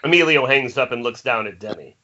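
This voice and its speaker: Bright-voiced man reading stage directions. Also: mid-range voice, a little fast. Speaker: narrator of a table read. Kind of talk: scripted narration of screenplay directions. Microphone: remote video-call microphone. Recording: muffled internet call, faint noise.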